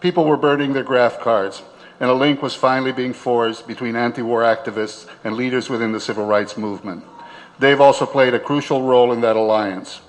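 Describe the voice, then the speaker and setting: A man's voice: mid-range voice, poor audio. A man narrates a documentary in a mid-range voice. He reads prepared narration as the storyteller who links the program together. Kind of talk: scripted narration.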